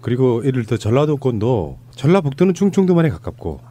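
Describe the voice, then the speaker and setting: A man's voice: mid-range voice, big pitch swings, fast, warm tone. A man hosting a casual panel chat, joking and teasing his guests. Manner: joking, teasing, laughs often. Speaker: host.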